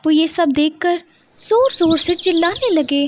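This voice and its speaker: A woman's voice: very high-pitched, lively intonation, unhurried, noisy background. A woman narrating a scripted children's story as its storyteller.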